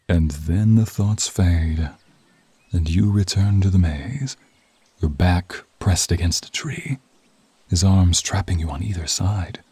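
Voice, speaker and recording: A man's voice: low-pitched, mellow, breathy edge, no room sound. A man narrating a scripted ASMR roleplay in the second person, dramatic and intimate, often dropping toward a whisper.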